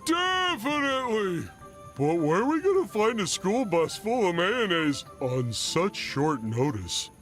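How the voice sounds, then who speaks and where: A man's voice: high-pitched, very animated, fairly bright tone, soft background music, full character voice. A man voicing a dim, cheerful, guileless cartoon sidekick in a video game. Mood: dopey, enthusiastic. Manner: slow-witted, guileless. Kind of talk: animated video game voice acting.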